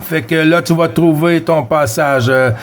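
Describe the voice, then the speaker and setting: A man's voice: fairly high pitch, measured pace. A man with a Quebec French accent chatting casually over two-way radio as a CB operator, friendly and repeating himself. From Quebec, Canada. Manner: friendly, repeats himself, tags phrases with "hein".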